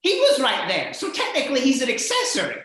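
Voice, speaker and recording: A man hosting a horror radio-play stream in a jokey, theatrical, somewhat halting manner, with very echoey sound. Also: wildly swooping pitch, pushed and bright. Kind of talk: jokey, hammy live show hosting. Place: indoors, remote video call stream. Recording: very echoey, boomy room sound.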